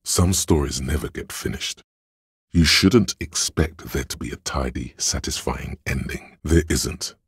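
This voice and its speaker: A man voicing the cold, dry inner logic of a detective in a video game, measured and analytical, with a gravelly, husky delivery. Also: a bass voice, fairly bright tone, no room sound, full character voice. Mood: sardonic, detached. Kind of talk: scripted video game voice acting, second-person narration.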